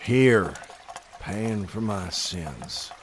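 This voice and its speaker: Dead man narrating his haunted house story, low voice, brooding and guilty, really deliberate. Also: animated, background noise. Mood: haunted, reluctant. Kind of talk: scripted first-person audio drama narration. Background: music.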